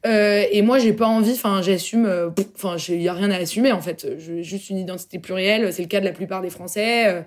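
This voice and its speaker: A woman's voice: medium pitch, brisk, hardly any pauses. Slangy young Parisian woman, hesitant. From Paris, France. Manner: loose, slangy, many hesitations. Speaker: podcast guest. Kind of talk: casual round-table chat.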